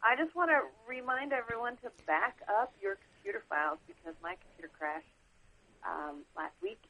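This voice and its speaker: A woman's voice: high-pitched, sing-song, moderate pace, vocal fry, pushed and bright, husky. A woman on a group call, chatting in a rueful, casual way. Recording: muffled lo-fi phone line with faint hiss.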